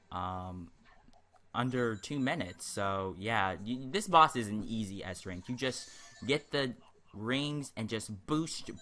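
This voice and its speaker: A man's voice: mid-range voice, pushed and bright. A man giving casual commentary over a video game walkthrough, rambling and hesitant, often repeating himself. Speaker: gaming video host.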